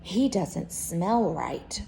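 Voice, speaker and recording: A woman voicing a brisk, scornful wild rabbit in a storybook, with a teasing, challenging manner. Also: fairly low pitch, lively intonation, vocal fry, mellow, a little hiss or hum, full character voice.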